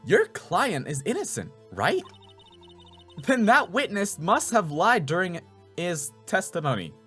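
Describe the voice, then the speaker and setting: Man acting a lively, confident mentor lawyer. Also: high-pitched, measured pace, slightly rough, soft background music, voice pushed up, heavily acted. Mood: encouraging. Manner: instructive, emphatic. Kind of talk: dramatic video game dubbing.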